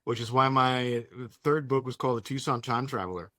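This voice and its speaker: A man's voice: medium pitch, brisk, a bit bright, no room sound, clean studio sound. A man from Denmark, a native Danish speaker, talking casually in English as an author being interviewed. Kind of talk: casual conversation.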